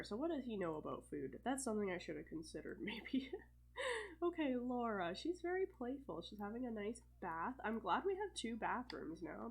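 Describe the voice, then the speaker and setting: A woman's voice: medium pitch, slightly rough. Young woman w a stuffy cold chatting thru her sims game, lots of ums and little laughs. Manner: chatty, thinking aloud with fillers and soft laughs. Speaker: let's play host. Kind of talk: casual gameplay commentary.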